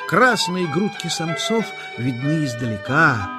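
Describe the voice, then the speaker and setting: A man narrating a scripted children's nature story as storyteller, with expressive, deliberate phrasing. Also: on the high side, loud music behind the voice.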